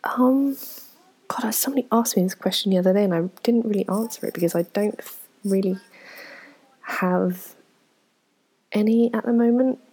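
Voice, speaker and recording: A woman, answering viewer questions in a frank, self-deprecating, rambling way, full of ums and soft whispered asides. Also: medium pitch, normal speed, long pauses, breathy edge, poor audio. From England. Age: 20s. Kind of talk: casual Q&A chat to camera.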